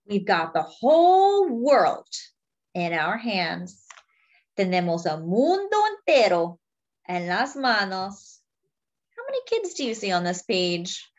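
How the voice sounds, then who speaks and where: A woman's voice: mid-range voice, big pitch swings, slow pace, lo-fi sound. A woman reading a picture book aloud to young children, in simple phrases as a children's storyteller.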